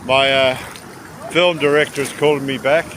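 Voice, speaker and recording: Casting: male travel vlogger, mid-pitched, casual and rambling with mid-sentence pauses, recorded on a noisy, windy shore. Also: fairly even intonation, fairly bright tone. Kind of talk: casual walking travel commentary. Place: outdoors on a rocky seashore by tidal pools. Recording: windy outdoor recording with sea noise. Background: wind and waves.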